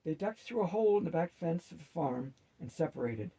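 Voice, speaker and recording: A man's voice: fairly high pitch, animated, a little fast, warm tone, husky, rough recording. A man reading a fantasy story aloud as a narrator.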